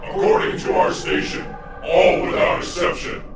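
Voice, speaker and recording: A man's voice: moderate pace, loud music behind the voice, close to an everyday voice. Male actors playing zealous alien soldiers chanting fiercely in a science fiction game. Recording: echoing hall, loud noisy ambience.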